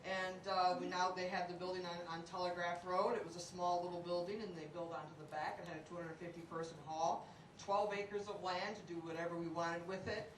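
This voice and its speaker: A woman's voice: fairly low pitch, flat delivery, a little fast, slight background noise. Woman, plain storytelling with restarts; distant, echoey room. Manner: repeats herself, plain storytelling. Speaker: club member reporting. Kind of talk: casual spoken report. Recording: roomy hall, distant mic.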